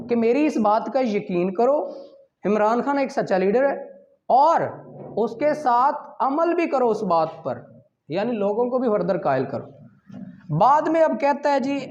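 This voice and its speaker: A man's voice: high voice, a little mellow. A man with a Pakistani accent giving an informal law lecture, explaining with examples, dropping in English terms and checking that students follow. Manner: explanatory, checks understanding with questions; mixes in English legal terms. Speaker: law teacher. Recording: dull lo-fi recording.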